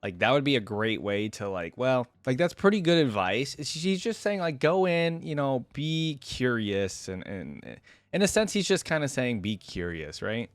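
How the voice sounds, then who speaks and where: A man's voice: medium pitch, very animated, moderate pace, no echo. A man hosting a reaction video, musing aloud in casual, informal commentary.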